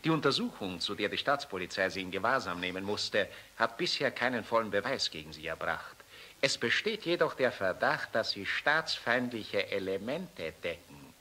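An actor playing a menacing, officious secret police official in a German radio play, formal and bureaucratic. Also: male voice, on the low side, very animated, moderate pace, sharp tone, a little hiss or hum. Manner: formal, clipped bureaucratic threats.

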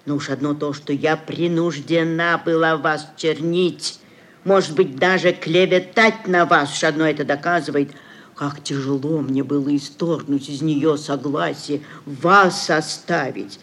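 A woman's voice: deep voice, steady tone, a little slow, fairly bright tone, heavily acted. An actress playing a scheming, sugary middle-aged society mother in a classic radio play, cajoling and declaiming theatrically. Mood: dramatic self-justifying. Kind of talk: classic Russian literary radio play acting.

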